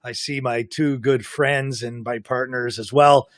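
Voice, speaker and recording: A man hosting a live podcast, talkative and self-described as the loudest mouth of the group. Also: mid-range voice, fairly even intonation, unhurried, no echo, studio quality. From Canada. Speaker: podcast host. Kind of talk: casual live podcast chat. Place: home in South Florida.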